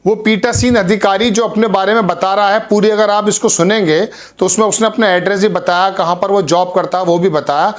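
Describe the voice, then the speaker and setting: A man's voice: high voice, steady tone, a little fast, hardly any pauses. A man hosting an online news video, talking earnestly and correcting himself as he goes. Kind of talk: news commentary to camera.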